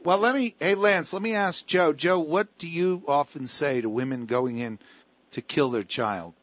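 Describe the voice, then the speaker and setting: A man's voice: fairly high pitch, moderate pace, fairly bright tone, highs cut off. A man hosting a talk radio show, talking with callers and guests in an emphatic, preacher-like way with a lot of repetition.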